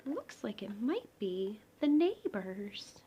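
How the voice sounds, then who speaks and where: A woman's voice: medium pitch, very animated, takes it slowly, mellow, slight background noise. A woman reading a children's picture book aloud expressively, talking directly to young listeners. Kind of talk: expressive children's storybook read-aloud with asides.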